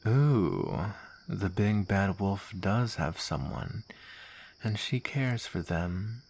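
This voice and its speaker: A man's voice: sits low, big pitch swings, drawn-out words, creaky, a little mellow, breathy edge, exaggerated voice. A man playing a smug soldier villain in an ASMR roleplay, taunting and drawling with mock sweetness and menace.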